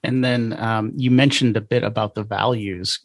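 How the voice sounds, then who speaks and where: A man's voice: fairly low pitch, fairly even intonation, normal speed, no echo. A man hosting an interview, asking questions in a casual conversational style. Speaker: podcast host interviewer.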